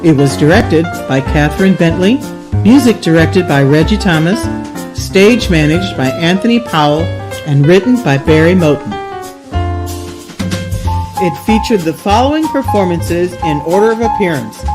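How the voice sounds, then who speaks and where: A woman narrates an audio drama in a scripted presenting style. Her voice is fairly low, and guitar music plays clearly behind her. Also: expressive, moderate pace.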